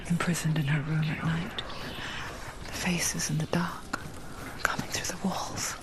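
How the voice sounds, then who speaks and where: An actress voicing a confiding, intimate narrator in a video game, deliberate and breathy. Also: female voice, low-pitched, fairly even intonation, whispered, poor audio. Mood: hushed, somber. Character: hushed, intimate female narrator voice in a troubled mind. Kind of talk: whispered dramatic narration.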